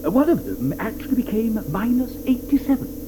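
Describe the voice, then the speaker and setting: An actor playing an eccentric, fussy male chocolate maker in an audio drama, talking theatrically with many hesitant pauses. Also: very high voice, sing-song, background noise. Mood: hurried, eager. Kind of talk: 1980s audio drama acting. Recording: studio audio drama with music behind.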